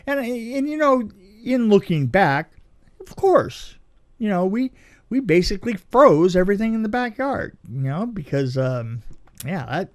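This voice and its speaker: A man's voice: sits high, measured pace. A middle-aged man telling casual stories as a guest, often repeating phrases as he goes. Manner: animated retelling with repeated phrases and acted-out quotes. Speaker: film effects veteran, podcast guest. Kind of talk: casual anecdotal storytelling.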